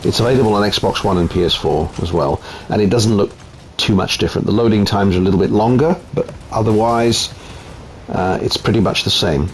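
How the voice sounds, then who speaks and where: A man's voice: lowish voice, a little fast, slight background noise. A man from Italy with an Italian accent, chatting casually at home through gameplay commentary with frequent ums. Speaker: game reviewer.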